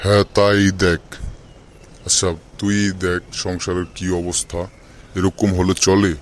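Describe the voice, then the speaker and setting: Guy doing tired old bangladeshi dad in a cartoon, deepish voice, grumbling and scolding, bit of noise behind. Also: level tone, unhurried. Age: older. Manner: complaining, reproachful, lamenting. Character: weary, scolding old father of a poor family. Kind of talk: amateur cartoon voice acting in colloquial Bengali.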